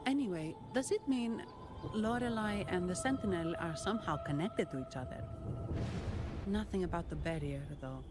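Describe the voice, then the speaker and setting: A woman's voice: lowish voice, expressive, normal speed, over loud music, close to an everyday voice. A woman voicing an inquisitive, level-headed young adventurer in a video game, talking matter-of-factly. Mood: curious, pondering. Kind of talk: video game character dialogue.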